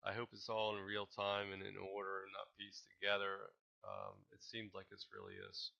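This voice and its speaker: A man giving unscripted, speculative commentary in a halting, rambling way, as an amateur talking through his own video. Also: on the low side, monotone, gravelly, pushed and bright. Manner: hesitant, rambling, halting delivery. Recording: dull lo-fi home recording.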